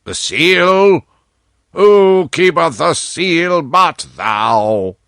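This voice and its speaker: Storybook monarch played by a man: aged, failing yet imperious, very unhurried delivery, dry close sound. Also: fairly high pitch, expressive, a bit bright, dry recording, pitched above the performer's own voice, full character voice. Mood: confused, feeble. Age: older. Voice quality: feeble, weighty. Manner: slow archaic royal speech, commanding. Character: frail old dying king, wrathful and sorrowful by turns.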